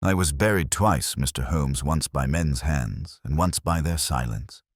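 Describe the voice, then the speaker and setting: A man voicing a weary, grey-haired woman in an audiobook story, speaking softly, steadily and without fear. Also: very deep voice, a little slow, breathy edge, no room sound, studio quality, exaggerated voice. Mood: calm reproach. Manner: soft, steady, unafraid.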